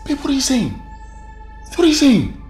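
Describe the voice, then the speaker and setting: A man's voice: very high voice, huge pitch swings, moderate pace, full character voice. A man with a Nigerian accent playing a philandering husband who wheedles and scolds, insistently repeating his phrases in screen melodrama. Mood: anxious pleading. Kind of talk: melodramatic screen drama acting. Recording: loud music behind the dialogue.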